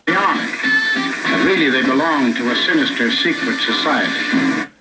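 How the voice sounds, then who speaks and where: Dramatic 1940s film narrator over loud music. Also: male voice, mid-range voice, wildly swooping pitch, takes it slowly, low-quality recording. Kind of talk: 1940s war propaganda newsreel narration, scripted.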